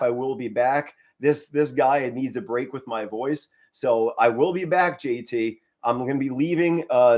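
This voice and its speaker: A hoarse middle-aged man from Pennsylvania doing casual live play-by-play as a fan, mostly low and subdued with bursts of excitement. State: hoarse, straining to save his voice. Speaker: fan commentator on a live stream.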